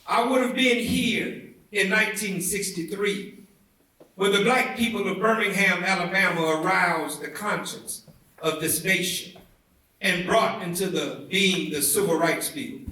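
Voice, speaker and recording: A man giving a dramatic, oratorical reading of a speech, with deliberate, measured phrasing and emphatic swells. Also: high-pitched, bright, forceful tone. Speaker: reader at a commemorative event. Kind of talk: dramatic reading of a historic civil rights speech. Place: indoor theatre event, heard from a distance. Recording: echoey indoor hall, far from the mic.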